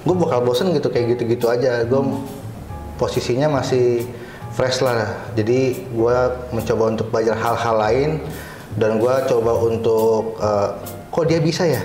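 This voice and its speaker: A man's voice: medium pitch, normal speed, darkish tone. A man with a Jakarta Indonesian accent telling his own story casually as an interview guest, in informal, slangy speech. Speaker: interview guest, cyber security supervisor. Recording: roomy echo, faint background music.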